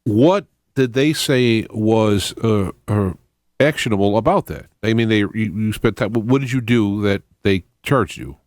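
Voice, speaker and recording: A man's voice: on the low side, a little slow, darkish tone, no echo, clean studio sound. A middle-aged man hosting a radio interview, explaining his points at length in colloquial, conversational language. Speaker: radio host.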